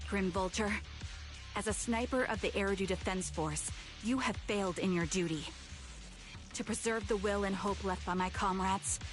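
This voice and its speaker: A righteous young female soldier from a video game, acted by a woman, stern and earnest at a medium pitch. She makes firm declarations with music clearly behind her. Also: lightly acted. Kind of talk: dramatic video game voice acting.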